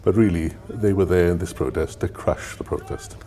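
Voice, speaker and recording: A sixty-year-old man, a former serviceman, giving a documentary interview in a husky voice. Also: low-pitched, normal speed, warm tone. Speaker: interviewee, former Royal Marine and police officer.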